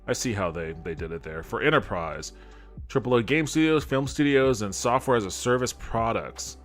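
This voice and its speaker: A man's voice: medium pitch, animated, moderate pace, faint music behind. A man giving casual, off-the-cuff commentary as a streaming host, with a sardonic, skeptical way of talking and some swearing. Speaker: host of a gaming podcast and stream.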